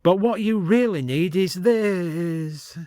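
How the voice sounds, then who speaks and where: A man's voice: high-pitched, takes it slowly, dark tone, dry recording, studio quality, voice pushed up. A man voicing a theatrical saleswoman with a coaxing, would-be seductive manner in an audiobook story.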